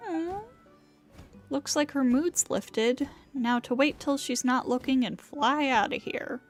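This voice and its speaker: A woman's voice: high voice, takes it slowly. A woman game streamer giving casual live commentary in a playful, wry manner. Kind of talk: casual live gameplay commentary, reading dialogue aloud. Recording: game music behind the voice.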